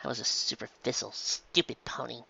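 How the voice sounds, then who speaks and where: Man voicing a halting, guilt-ridden pony mother. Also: mid-range voice, steady tone, creaky, breathy edge, lo-fi sound, heavily acted. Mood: ashamed. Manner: halting, soft, apologetic. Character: guilt-ridden, gentle adult pegasus mare mother. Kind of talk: fan fiction audiobook character voice.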